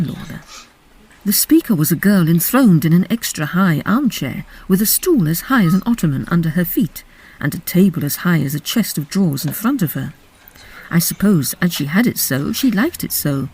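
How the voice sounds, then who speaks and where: Expressive woman storyteller with animated pitch. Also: poor audio. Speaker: narrator. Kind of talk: dramatic storybook narration. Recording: faint background noise.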